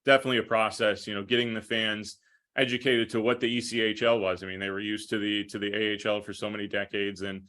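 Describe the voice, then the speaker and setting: American man, bright forceful timbre, flat intonation, measured interview answers, sports broadcaster guest. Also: lowish voice. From Minnesota, United States. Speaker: podcast guest, hockey play-by-play broadcaster.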